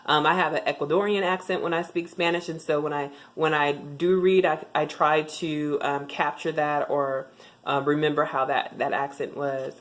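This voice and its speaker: Young woman, low voice, relaxed vlog tutorial, chatty and halting with ums. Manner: chatty, with frequent ums and restarts. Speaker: vlogger sharing language tips. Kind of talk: casual tutorial talk to camera.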